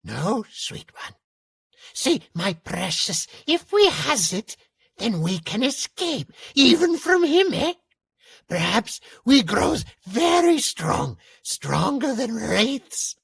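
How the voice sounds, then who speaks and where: A man voicing a small, dark, greedy scheming creature in a dramatized reading, with a raspy, creaky voice. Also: extremely high pitch, sing-song, slow, pitched above the performer's own voice, full character voice. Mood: scheming, grandiose.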